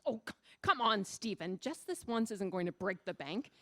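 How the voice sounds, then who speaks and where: A woman's voice: medium pitch, very animated, normal speed, close to an everyday voice. A woman playing a demanding wife who coaxes and teases her husband in a comic radio sketch. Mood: coaxing. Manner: wheedling, teasing.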